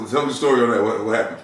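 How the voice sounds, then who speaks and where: A man's voice: medium pitch, steady tone, fast talker, distant mic, slight background noise. A man, a radio personality, chatting as a guest in a laid-back, playful, swaggering way. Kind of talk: casual interview chat. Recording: echoey room, voice off-mic.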